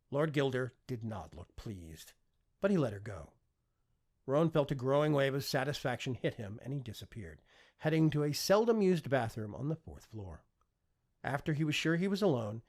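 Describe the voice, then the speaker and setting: A man narrating a fiction audiobook with expressive, scripted storytelling delivery. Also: medium pitch.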